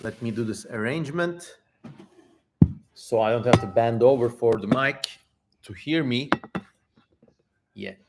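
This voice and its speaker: A man with a mid-pitched voice hosts a livestream. He talks in a loose, casual way and comments informally, swearing now and then. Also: unhurried, pauses often, very noisy. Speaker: livestream host.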